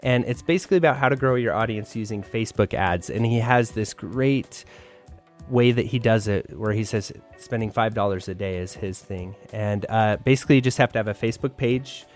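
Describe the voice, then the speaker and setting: A man's voice: fairly low pitch, a little fast. Male podcast co-host over music. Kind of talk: casual podcast news and tips.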